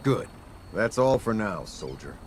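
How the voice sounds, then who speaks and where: A low-pitched, stern military captain in a video game, talking in a clipped, formal way with clear disdain. A noisy rumble sits behind the voice. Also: male voice, very animated, a little slow. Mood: stern, condescending. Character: stern, condescending male military airship captain.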